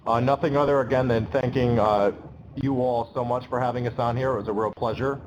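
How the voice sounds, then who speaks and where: A man's voice: mid-range voice, steady tone, a little fast, highs cut off, a little hiss or hum. A man recovering from an illness and starting to lose his voice, answering questions in a webinar in a loose, um-filled way. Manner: many ums, loose and conversational. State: getting over an illness, slightly losing his voice. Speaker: advocacy program staff.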